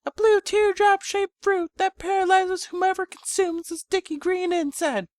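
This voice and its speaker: A man voicing a tender, gently chastising pony mother who speaks within the mind, in a fan fiction reading. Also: very high voice, steady tone, normal speed, no room sound, voice pushed up. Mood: tender, instructive.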